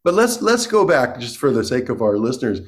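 A man's voice: mid-range voice, very animated, a little fast. Chatty male podcast host. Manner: enthusiastic and chatty, repeats words. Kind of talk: casual interview talk.

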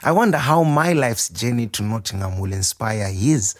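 An actor playing a warm, proud, playful nurse turned chef in an expressive audio monologue. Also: male voice, medium pitch, moderate pace, a little hiss or hum, close to an everyday voice. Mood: proud, tender. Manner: expressive, reflective, wryly humorous. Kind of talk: dramatic first-person monologue.

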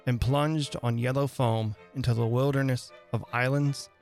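A man narrating a literary story dramatically, with measured, deliberate phrasing and pauses. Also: medium pitch, fairly even intonation, gravelly, darkish tone, faint music behind. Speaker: reader and host of a dramatic reading radio show.

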